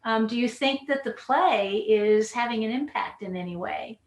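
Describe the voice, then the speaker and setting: A woman's voice: on the high side, normal speed. A woman moderating a panel conversationally, encouraging and thoughtful as she searches for her words. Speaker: discussion moderator. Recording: echoey room sound.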